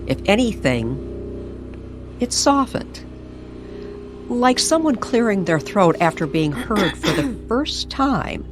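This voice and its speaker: A woman narrating a mysterious story in old-time radio style, deliberate and suspenseful, with dramatic pauses. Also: mid-range voice, big pitch swings. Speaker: co-narrator of an audio story series. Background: music under the voice.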